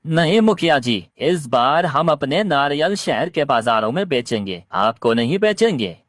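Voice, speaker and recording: A man's voice: fairly high pitch, very animated, a little slow, a bit bright, no echo, clean studio sound. A man playing a poor old farmer parrot in a Hindi cartoon, pleading and bitter in manner. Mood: defiant. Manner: wailing, pleading. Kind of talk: Hindi cartoon story acting.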